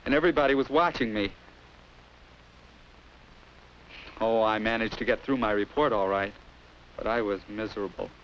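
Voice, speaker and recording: An actor playing an anxious, earnest high school boy with an American accent, narrating hesitantly and confidingly in an old 1950s instructional film. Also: fast talker, fairly bright tone, slight background noise, natural voice, poor audio. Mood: troubled.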